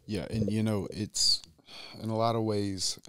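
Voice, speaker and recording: A man, a business owner talking as a podcast guest, measured and drawn out, louder when he presses a point. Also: on the low side, level tone, clean tone. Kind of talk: casual conversation. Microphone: boom mic on stand.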